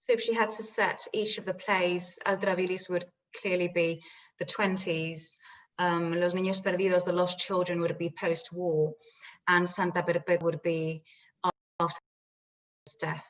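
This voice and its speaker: A woman interpreting for a panel guest, summarising answers in measured phrases. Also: fairly low pitch, little pitch movement, a bit bright, low-quality recording. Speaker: interpreter at a post-show Q&A. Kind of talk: consecutive interpreting, explanatory summary.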